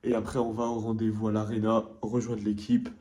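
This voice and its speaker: A man's voice: lowish voice, fairly quick, slight background noise. A young man, a vlogger and player, chatting casually and talking straight to his viewers. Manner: relaxed, talks straight to viewers. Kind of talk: casual vlog chat.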